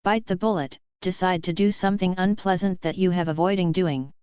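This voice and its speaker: Lady reading english idioms slow and even, very clean voice, slightly dull sound. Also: fairly low pitch, smooth, dry recording. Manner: reads each line plainly and repeats it.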